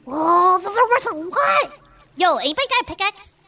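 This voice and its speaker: A woman playing a tabletop role-playing game in character, with an excitable, slangy delivery. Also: extremely high pitch, normal speed. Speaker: player.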